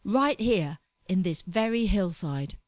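A woman voicing a pompous, melodramatic young man chasing gold, complaining loudly about everything around him. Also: medium pitch, expressive, takes it slowly, dry recording, clean studio sound. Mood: fervent. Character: anxious, pompous young male fortune hunter.

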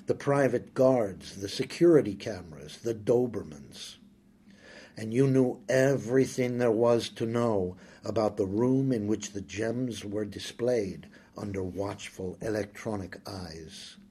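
A man reading a first-person story aloud, slow, brooding and intimate. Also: fairly low pitch, mellow, breathy edge. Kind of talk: scripted first-person audiobook narration.

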